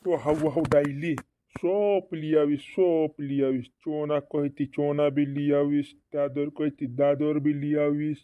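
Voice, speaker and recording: A man's voice: fairly high pitch, flat delivery, unhurried, clean tone, no echo, lightly acted. A man voicing a fretting cartoon villager with repetitive, doubled words, in a dull lo-fi recording. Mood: urging. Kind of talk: regional comedy cartoon dialogue. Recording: dull lo-fi dry audio.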